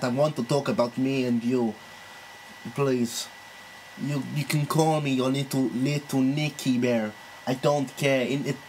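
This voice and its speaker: Guy putting on a creepy lecturer, halting and needy, prank call, background hiss. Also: mid-range voice, lightly acted, low-quality recording. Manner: halting, pleading, drawn-out delivery. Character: lovesick creepy Italian male university lecturer.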